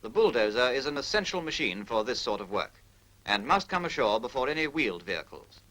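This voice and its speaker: Bright-voiced British man, 1940s film narrator. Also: medium pitch, sing-song, a little fast, poor audio. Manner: precise, instructional, impersonal. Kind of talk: formal scripted 1940s military film narration.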